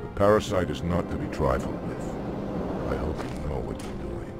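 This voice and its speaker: An actor playing a stern, battle-hardened alien commander in a video game cutscene, giving formal orders. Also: male voice, very deep voice, expressive, normal speed, gravelly, heavily acted. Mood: stern, rallying. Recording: very loud music behind the voice.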